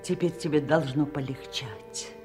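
A lonely old countrywoman, wise and kind. Her voice sits fairly low and she speaks very slowly, in grave, plain radio-play style of the Soviet seventies. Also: over music. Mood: soothing. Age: older. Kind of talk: 1970s Soviet radio drama acting.

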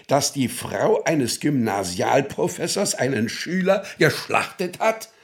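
An actor playing a stern, sceptical state prosecutor in a radio drama, officious, clipped and sarcastic. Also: male voice, mid-range voice, sing-song, takes it slowly. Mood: incredulous, scornful.

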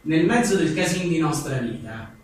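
A man's voice: a little high, animated, moderate pace. A man, an author reading his comic aphorisms aloud with wry, self-deprecating, chatty asides. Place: echoing venue at a book presentation. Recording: very echoey room, distant mic, faint noise.

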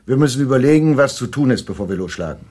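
An actor playing a dry, confident schemer in a radio drama thriller. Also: male voice, medium pitch, expressive, quick pace, a little mellow, breathy edge. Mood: assured.

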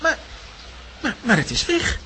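A woman's voice: a little high, wildly swooping pitch, a little slow, pushed and bright, slight background noise, exaggerated voice. A woman playing an earnest, curious young cartoon boy in a children's audio drama. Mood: puzzled, insistent.

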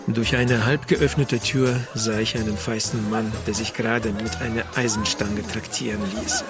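A man playing a weary, wry private detective in a radio drama, with a dry and sometimes hesitant manner. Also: fairly low pitch. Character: weary, wry male private detective, narrating his case. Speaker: lead character and narrator. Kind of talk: radio drama acting with narration.

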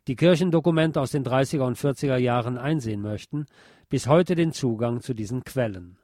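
A man reading a scripted historical text aloud as narrator, in a measured, formal, literary manner. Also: medium pitch, a little mellow, no room sound, clean studio sound.

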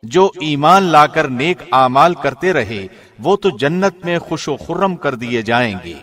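A man, a professional radio host, giving a calm, formal studio reading of a scripture translation. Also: a little high. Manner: calm, even, formal delivery.

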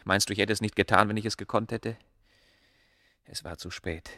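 An earnest, troubled man of learning in ancient Egypt for radio drama. His voice is very low, forceful and raspy, with urgent intensity and a close, dry sound. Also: fairly even intonation, normal speed, dry recording. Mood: anguished.

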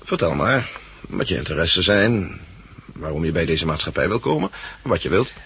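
An actor playing a brisk, authoritative head of research with a probing, businesslike manner in a 1960s Dutch radio drama. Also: male voice, sits low, big pitch swings, a bit bright, breathy edge, heavily acted. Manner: probing, businesslike, clipped questioning.

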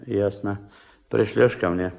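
An actor playing a gruff, shrewd, middle-aged fish farm boss in a radio play, giving curt orders in a gravelly voice. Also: male voice, fairly low pitch, fairly even intonation, takes it slowly, a little mellow. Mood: curt, commanding. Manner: curt, bossy, clipped commands. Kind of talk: Soviet radio play acting. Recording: dull, lo-fi old radio recording.

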